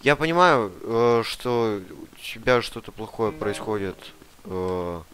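A man's voice: on the low side, lively intonation, slow, fairly bright tone. A young man streaming an online roleplay game, arguing in character with a pushy, demanding, mock-official manner. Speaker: gamer streamer playing a detainee in an online roleplay server. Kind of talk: in-character roleplay chat over voice chat. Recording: game audio and noise behind the voice. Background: clear noise and game car sounds.

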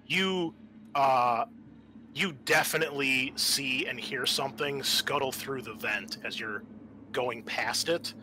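A man narrating a tabletop roleplaying game as game master, in a halting, conversational way. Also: mid-range voice, sharp tone. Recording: faint background noise.

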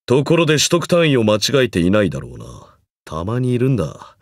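A man's voice: medium pitch, wildly swooping pitch, a little fast, dry recording, clean studio sound. An actor playing a stern, pompous magecraft professor in a mobile game, speaking formally and condescendingly while grudgingly encouraging his student. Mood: stern, dry. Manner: formal, condescending lecturer's delivery. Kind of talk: scripted game character lines.

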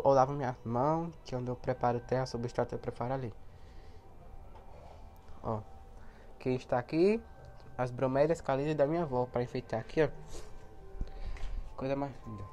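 A young man with a cold and a hoarse voice, chatting casually as he films his plants outdoors on a handheld phone. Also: medium pitch, moderate pace, long pauses, darkish tone, soft background music. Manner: chatty, points things out to viewers. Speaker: plant vlogger. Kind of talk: casual walkthrough vlog. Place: outdoor backyard garden area. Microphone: handheld phone camera.

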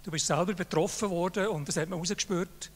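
A man's voice: high voice, fairly quick, a little hiss or hum. An older man with a Swiss German accent, speaking into a handheld mic on a stage in a large hall, presenting a prize in an informal, praising way. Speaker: jury member presenting an award. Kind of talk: Swiss German laudatory speech. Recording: hall reverb.

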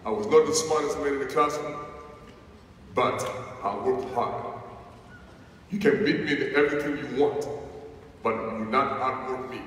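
A man in his thirties with a foreign accent and a deep voice, giving a slow, emphatic inspirational speech on stage in a large arena. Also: fairly bright tone, a little hiss or hum. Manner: slow, emphatic, preacher-like delivery. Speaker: motivational speaker. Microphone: headset or stage mic heard over PA from the crowd. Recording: echoey arena PA recorded from far seats.

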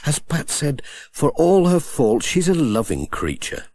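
A man reading a children's storybook aloud with gentle, expressive storytelling. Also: fairly high pitch, a little slow. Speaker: audiobook narrator.

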